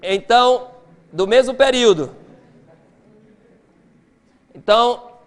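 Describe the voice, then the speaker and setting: A middle-aged man preaching a lecture-style sermon, animated, swinging between quiet explanation and loud excitement. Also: very high voice, slow pace, clean tone.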